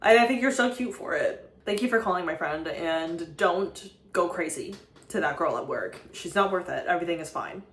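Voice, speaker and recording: A woman's voice: lowish voice, animated, moderate pace, reverberant. A woman vlog host talking casually and conversationally, replying to messages with emphatic reassurance. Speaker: host of a voicemail show.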